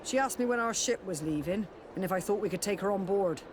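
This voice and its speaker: An actor playing a kindly, sympathetic sailor in a video game, gentle and obliging as he talks. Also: high-pitched, fast, close to an everyday voice. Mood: helpful, sympathetic. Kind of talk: video game cutscene dialogue. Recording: clear noise, outdoor ambience behind.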